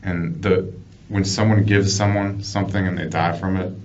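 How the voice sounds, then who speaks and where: An American man working as a police detective, questioning someone in a measured way with repeated, restarted phrases. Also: deep voice, little pitch movement, a little mellow. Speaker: police detective conducting an interrogation. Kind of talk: investigative interview. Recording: muffled, noisy police interview room recording.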